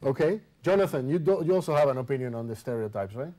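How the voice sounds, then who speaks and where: A man's voice: mid-range voice, animated, fast, dark tone. A man leading a student discussion in a conversational interview style, prompting and rephrasing with questions. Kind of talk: moderated group discussion, conversational.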